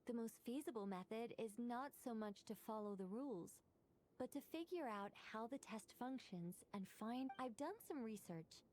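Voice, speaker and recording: A woman playing a composed, formal young researcher in an anime-style video game, precise, polite and gently wry. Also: on the high side, expressive, slight background noise, lightly acted. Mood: explanatory. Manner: precise, polite, measured wording.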